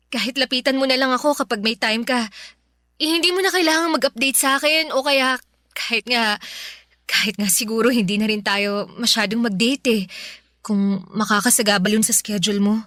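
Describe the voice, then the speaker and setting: A woman's voice: high voice, pushed and bright, dry recording, clean studio sound. A woman playing a clingy, earnest young woman pleading with her ex in a Filipino radio drama, sometimes hesitant.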